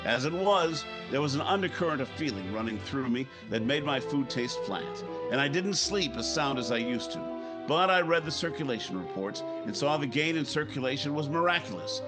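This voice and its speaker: An actor playing a troubled, ambitious newspaper editor, narrating in a hardboiled, brooding old-time radio drama style. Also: male voice, medium pitch, lively intonation, a little fast, loud music behind the voice, lightly acted. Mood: brooding, confessional.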